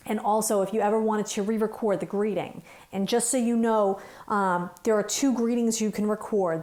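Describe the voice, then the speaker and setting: A woman's voice: medium pitch, a little mellow. A woman working as a trainer, talking through instructions step by step in an informal, conversational way. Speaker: phone system trainer.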